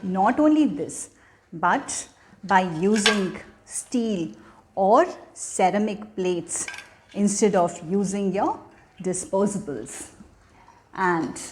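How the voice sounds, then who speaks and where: Slow-paced woman teaching children. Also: medium pitch, a little mellow. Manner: teacherly, deliberate, asking questions then answering them. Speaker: children's storyteller and channel host. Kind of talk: educational talk addressed to children.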